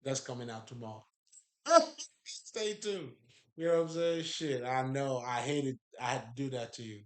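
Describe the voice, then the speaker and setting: Man, Haitian accent, mid pitch, emphatic and profane, laughs a lot, echoey room. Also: big pitch swings, normal speed, fairly bright tone. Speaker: spiritual speaker. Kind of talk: casual spiritual talk.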